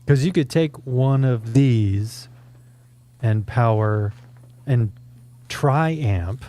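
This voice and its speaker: A man co-hosting a casual conversation about audio gear in a low-key, conversational way. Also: extremely slow, mellow. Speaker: co-host and reviewer.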